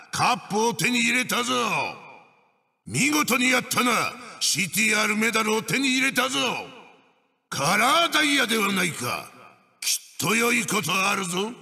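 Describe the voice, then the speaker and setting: A man's voice: high-pitched, bright, forceful tone, in a large hall, full character voice. A man playing an evil wooden mask spirit in a video game, commanding and pompous as he barks out racing instructions. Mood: pleased, triumphant. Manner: commanding, archaic pompous phrasing. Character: evil wooden witch-doctor mask spirit, bossy and menacing.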